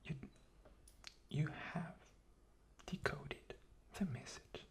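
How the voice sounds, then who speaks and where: Slow, whispering man giving an ASMR demonstration. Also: fairly high pitch, fairly even intonation. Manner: slow, halting, patient explaining with repetition. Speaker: guest ASMR performer.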